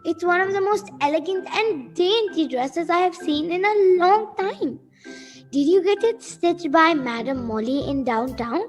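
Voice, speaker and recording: A woman acting a chatty, gushing, oblivious mother in an amateur radio play, rambling and exclaiming. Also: high voice.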